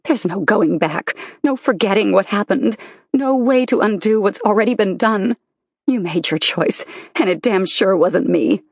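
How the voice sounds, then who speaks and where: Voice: medium pitch, fairly quick, breathy edge, no echo. Narrator voicing a furious betrayed wife. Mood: angry. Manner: cold, cutting, emphatic. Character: angry betrayed wife leaving her husband. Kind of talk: audiobook character reading.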